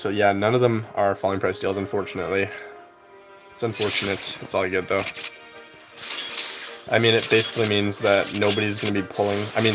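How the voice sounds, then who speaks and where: Young male streamer, lowish voice, easygoing and rambling, heavy on filler words. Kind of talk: casual live-stream chat while gaming.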